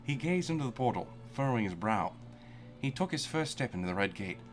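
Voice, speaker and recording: A man's voice: mid-range voice, very animated, fairly quick, music in the background. Man laughing through a dramatic reading. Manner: reads aloud, often breaking into laughter. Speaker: narrator. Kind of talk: comedic fan-fiction reading.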